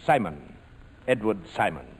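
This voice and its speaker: An actor playing a smooth, menacing crime boss in 1940s radio drama style, mock-polite and coolly amused. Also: male voice, lowish voice, sing-song, heavily acted. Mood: guarded. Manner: suave, mock-polite, unhurried threats. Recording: noisy vintage 1940s radio recording.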